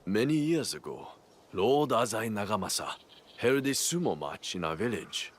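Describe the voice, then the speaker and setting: A big, loyal farm-born retainer in a video game. His low voice swings expressively, with deliberate, weighty phrases and a humble, friendly temper. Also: very animated. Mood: reminiscing. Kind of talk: video game cutscene acting.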